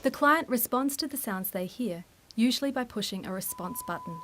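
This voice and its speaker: A woman reading scripted instructional narration in a formal, measured, explanatory way. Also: a little high, wildly swooping pitch, a bit bright, slight background noise.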